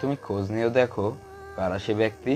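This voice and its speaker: A mafia boss's trusted associate, acted by a man, speaks in a low voice over music. He is familiar and reassuring, in the style of an amateur audio drama. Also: fast talker, darkish tone, natural voice. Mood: concerned, reassuring. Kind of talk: amateur Bengali audio-story acting.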